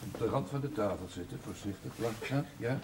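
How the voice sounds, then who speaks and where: An actor playing a dry, calm village doctor in a television drama, giving short, soothing, repeated instructions. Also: male voice, on the low side, fairly quick, lightly acted. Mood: calm, careful. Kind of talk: naturalistic television drama acting. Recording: very noisy, distant old television soundtrack.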